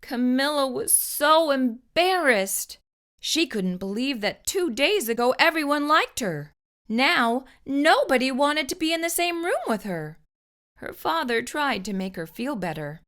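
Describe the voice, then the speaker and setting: A woman narrating a children's storybook in an expressive, deliberate way as a storyteller. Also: high voice.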